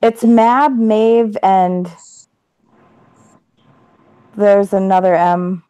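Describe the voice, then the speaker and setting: A woman's voice: medium pitch, steady tone, drawn-out words, warm tone, clear, resonant tone, no room sound. A woman chatting casually in an online book club, putting questions to the group in an informal way.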